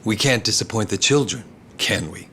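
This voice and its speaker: A breezy, self-assured politician. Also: male voice, on the low side, moderate pace, sharp tone, a little hiss or hum. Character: smooth, confident politician governor.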